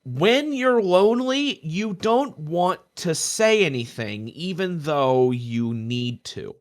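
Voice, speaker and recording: Young male co-host with a lighter-pitched, slow, emphatic delivery for informal personal talk. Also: lively intonation. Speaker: podcast co-host. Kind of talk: casual personal discussion.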